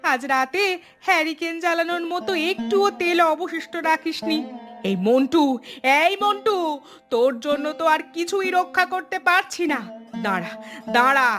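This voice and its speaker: A woman's voice: high voice, normal speed, over music, lightly acted. A woman voicing an exasperated village mother in a cartoon, scolding and complaining about her mischievous children. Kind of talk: Bengali cartoon voice acting.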